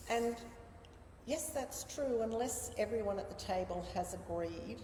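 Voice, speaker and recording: A woman's voice: fairly high pitch, expressive, slow, mellow. A middle-aged woman with an Australian accent, chatting reflectively and giving her opinions as an interview guest. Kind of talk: casual conversational interview. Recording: echoey reverberant room with background noise.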